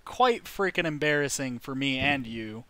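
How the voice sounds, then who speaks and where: A young man casually telling a funny story to his viewers, animated, acting out the lines he quotes. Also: medium pitch, moderate pace, no room sound. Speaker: YouTube host.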